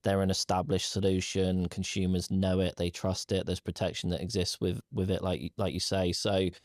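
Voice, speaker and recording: A male podcast co-host sharing measured, firm opinions in a conversational chat. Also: sits low, little pitch movement, a little mellow, no room sound, studio quality.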